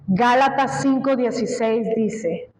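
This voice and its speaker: A woman's voice: fairly high pitch, slow pace, a little mellow, reverberant, low-quality recording. A woman from Colombia preaching a sermon, speaking emphatically and asking the congregation questions. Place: church.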